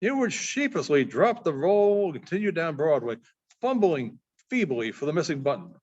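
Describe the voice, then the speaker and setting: A man narrates short stories as a librarian at a reading. His voice sits high and moves through a wide, lively range. The recording is slightly dull and lo-fi. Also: moderate pace. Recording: dull, muffled lo-fi sound.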